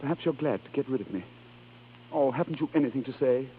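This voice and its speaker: Actor as flustered, stammering husband, tinny old radio. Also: male voice, mid-range voice, fast talker, lightly acted. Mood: pleading. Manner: stammering, earnest, hesitant. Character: flustered, lovelorn estranged husband. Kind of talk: 1930s radio acting. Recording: scratchy, tinny 1930s radio recording.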